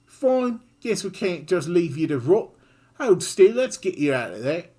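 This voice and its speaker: Player doing a loud brash girl thief from a game, high and husky, swings all over the place. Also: very animated, unhurried. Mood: sassy. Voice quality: slangy, loud and swaggering. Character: brash tough young woman thief. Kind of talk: reading game dialogue aloud.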